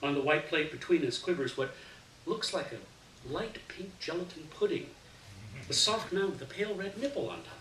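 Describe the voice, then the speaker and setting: Male story reader for literary fiction: bright, expressive delivery, recorded at a distance in a roomy space. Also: fairly high pitch, normal speed. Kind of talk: live literary fiction reading, first-person narration. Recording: roomy, distant mic, faint hiss.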